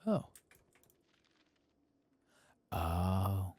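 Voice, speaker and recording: A soft-spoken man hosting an ASMR game playthrough, muttering to himself and at times dropping to a whisper. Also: low-pitched, big pitch swings, extremely slow, breathy edge, dry recording. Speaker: host of a game playthrough channel.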